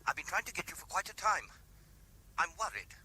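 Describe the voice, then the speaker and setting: An actor playing a worried husband heard through a telephone in a 1970s radio drama. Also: male voice, high voice, sing-song, fairly quick, bright, forceful tone, a little hiss or hum, full character voice, low-quality recording.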